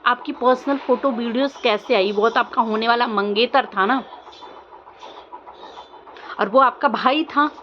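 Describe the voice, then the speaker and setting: A woman's voice: on the high side, unhurried, long pauses. A young woman gives casual opinion commentary to viewers, insistent and questioning, often repeating her phrases. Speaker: vlogger. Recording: muffled lo-fi audio, faint background noise.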